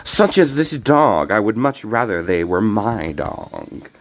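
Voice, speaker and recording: A young man streaming a card game, talking casually and rambling with many restarts, his voice slightly hoarse. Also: fairly low pitch, big pitch swings, slow. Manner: rambling, self-correcting. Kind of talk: casual gameplay commentary.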